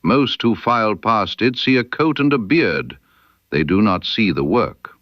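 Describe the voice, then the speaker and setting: A man voicing a proud, stubborn sculptor in an audiobook, scornful of flattery, with blunt, emphatic, formal period speech. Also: on the low side, a little slow, no echo, dull audio, voice pushed up. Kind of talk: character dialogue in an audiobook.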